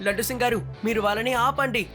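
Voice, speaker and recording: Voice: mid-range voice, steady tone, very fast, lightly acted. Casting: performer as brave young boy hero for a cartoon, confident and cheeky, over background music. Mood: confident, urgent. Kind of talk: Telugu cartoon dub acting.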